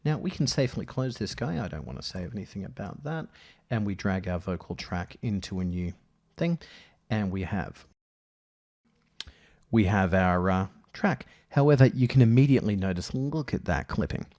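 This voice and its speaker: A man narrating a casual software tutorial as its presenter, thinking aloud in a halting way. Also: lowish voice, expressive. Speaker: audio editing tutorial presenter.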